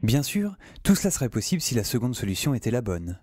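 A man's voice: mid-range voice, sing-song, fast talker, music in the background, close to an everyday voice. Guy playing wry young science geek hero in an audio drama, voice swings up and down a lot, rambles to the listener. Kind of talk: audio drama narration and dialogue.

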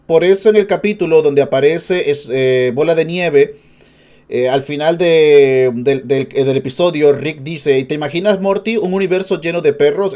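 A male streamer reacts casually to a video. His voice sits a bit high for a man and has a mellow, dark-edged tone. He wanders into joking asides as he talks. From Peru.

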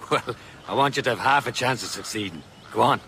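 An actor playing a friendly assassin comrade in a game cutscene, easygoing and teasing. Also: male voice, mid-range voice, a little fast, bright, forceful tone, a little hiss or hum. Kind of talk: dramatic game cutscene acting.

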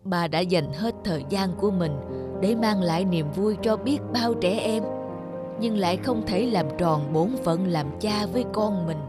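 An actor playing an earnest, remorseful older father who speaks in a slow, measured, solemn way in an animated drama. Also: sits high. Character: grey-bearded old father, veteran animator, earnest and remorseful. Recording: background music under the voice.

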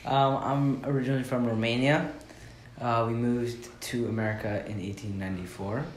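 A man's voice: medium pitch, flat delivery, moderate pace, slight background noise, natural voice. A young man playing a businesslike buyer who makes a phone deal and haggles, in an amateur school play recorded in an echoey room. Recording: echoey room with music behind.

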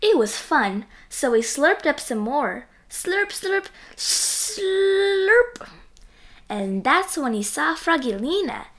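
A woman's voice: sits high, big pitch swings, takes it slowly, a bit bright. A woman reading a children's storybook aloud expressively, acting out the sound effects. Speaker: reader of children's books.

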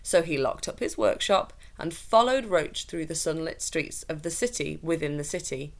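A young woman with a slightly low voice reads a children's story aloud. Her narration is expressive, and she is recorded at desk distance in a small room. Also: moderate pace, creaky. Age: young adult. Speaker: teacher reading a story aloud. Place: small plain room indoors.

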